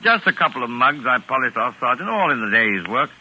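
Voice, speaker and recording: A pompous, unflappable male novelist in a 1940s radio mystery. His voice is very bright and forceful, with lively swings of pitch. He declaims theatrically, as on an old broadcast. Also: brisk, heavily acted, rough recording. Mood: pompous, brisk.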